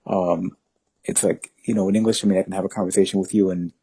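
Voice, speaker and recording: Low dark-voiced man in his fifties. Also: fairly even intonation, fast talker, breathy edge, no echo. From New York, family from Honduras. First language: Spanish. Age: 50s. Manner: rambling, many fillers and restarts. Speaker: guest. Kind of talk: casual interview conversation.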